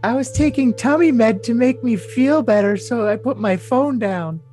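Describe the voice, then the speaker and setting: Clingy, girlish young woman, played by a man, very high voice, cutesy and melodramatic podcast reading. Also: moderate pace, darkish tone, soft background music. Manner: cutesy, theatrical, overly dramatic. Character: girlish, clingy, babyish young woman texting her boyfriend. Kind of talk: overly dramatic reading of text messages.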